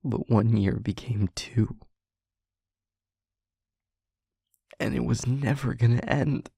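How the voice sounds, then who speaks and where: A man playing an anguished, trapped demon confessing to a loved one in an intimate audio roleplay, speaking haltingly in a breathy, gravelly half-whisper. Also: mid-range voice, mellow, no echo, clean studio sound. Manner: halting, slow, emotionally weighted delivery.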